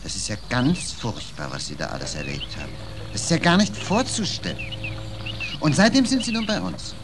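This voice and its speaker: A soft-hearted naive cop, very lively pitch, exclaims a lot, noisy old radio play. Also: male voice, moderate pace. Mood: shocked, sympathetic. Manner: exclamatory, concerned, good-natured. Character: kindly, naive, easily shocked foreign policeman. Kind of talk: 1940s German radio drama acting.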